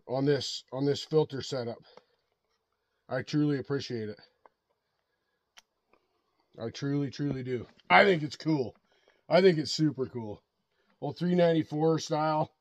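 A folksy middle-aged man talks in a slightly high voice while standing outdoors in snowy woods, recorded on a helmet-mounted camera. The sound is dry and close, and he tends to repeat phrases and tag "right?" onto his points. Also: dry recording. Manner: folksy, repeats himself, asks the viewer "right?". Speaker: chainsaw vlogger. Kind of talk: casual outdoor show-and-tell. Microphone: helmet-mounted action camera.

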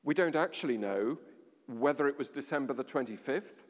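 A middle-aged man giving a sermon at a lectern in a large stone church, measured, with dramatic pauses and a voice that drops low. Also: expressive. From England. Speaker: vicar. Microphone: standing at a lectern, far camera. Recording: long church reverb.

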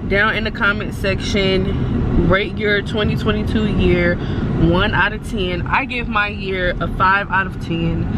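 A young American woman talks to her camera in an unhurried, slangy, profane way, like a casual vlog. Loud car and street rumble crowds her voice on the handheld recording. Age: 20s. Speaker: vlogger. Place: mostly inside a parked or moving car at night. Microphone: camera at arm's length.

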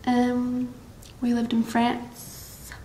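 A teenage girl from Massachusetts chatting to her webcam in a bright room, rambling and bubbly with lots of fillers. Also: a little high, completely flat delivery, extremely slow. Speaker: vlogger. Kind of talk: casual chat to camera. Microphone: built-in camera mic, close webcam framing. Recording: roomy echo, faint background hiss.

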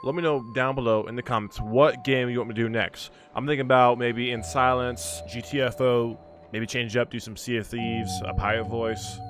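A young adult man speaking casually and excitably as a gaming video host, swearing at times, close to a desk mic. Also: mid-range voice, normal speed, slight background noise. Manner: animated, swearing, prone to yelling. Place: small furnished room at home. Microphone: close desk mic on boom arm, with headset. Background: music.

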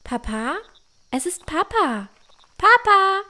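A woman's voice: very high voice, big pitch swings, slow pace, sharp tone, full character voice. A woman playing a clever, confident, cheeky village girl with a bookish manner in a fantasy audio drama. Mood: excited. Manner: self-assured, bookish.

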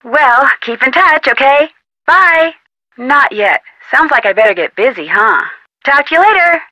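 A woman's voice: high voice, animated, unhurried, pushed and bright, no echo, full character voice, low-quality recording. A young woman with an American accent playing a friendly, inquisitive amateur detective in an adventure game, chatty and polite. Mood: casual, friendly. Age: young adult. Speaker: video game character voice actor. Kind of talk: scripted adventure game dialogue, phone-call delivery.

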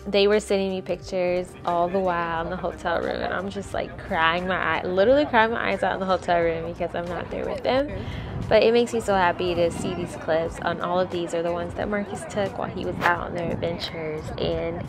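A woman's voice: lowish voice, fairly even intonation, fairly quick, over music. A woman in her twenties vlogging casually, slightly nasal from a cold, full of "like" fillers, filming at arm's length. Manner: chatty, many "like" fillers. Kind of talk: casual travel vlog. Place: hotel room and shop, indoors. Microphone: handheld camera at arm's length.

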